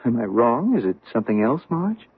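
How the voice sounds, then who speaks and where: A man's voice: mid-range voice, big pitch swings, brisk, slightly rough, heavily acted. An actor playing a cheerful husband in his forties who talks in a chatty, stammering way, in a 1970s radio drama. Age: 40s. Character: cheerful, fussing husband and salesman. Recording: tinny old radio broadcast.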